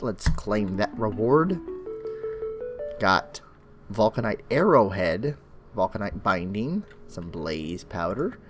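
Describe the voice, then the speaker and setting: A young man hosting his own Minecraft let's play, chatting as he plays, his voice crackly and bass-heavy from illness. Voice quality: extra bass from an allergic reaction. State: sick, crackly voice. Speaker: gaming channel host.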